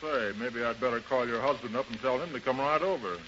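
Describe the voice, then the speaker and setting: A man's voice: mid-range voice, fast, a bit bright, natural voice. Man acting slangy, tough American gambling henchman. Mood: menacing. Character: pushy, hard-boiled male gambling-house henchman. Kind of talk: 1930s radio drama acting. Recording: dull, noisy 1930s radio recording.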